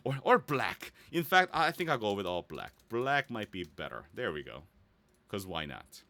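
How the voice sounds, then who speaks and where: Bright-voiced man with lively, swinging pitch. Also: measured pace, gravelly. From Korea or United States. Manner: playful, often checks in with "right?". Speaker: voice actor giving a website tutorial. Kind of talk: casual screen-share tutorial, thinking aloud.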